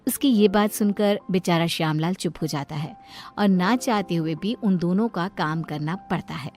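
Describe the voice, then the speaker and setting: A woman's voice: fairly low pitch, moderate pace, darkish tone. A woman storyteller reading scripted narration of a moral tale. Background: light background music.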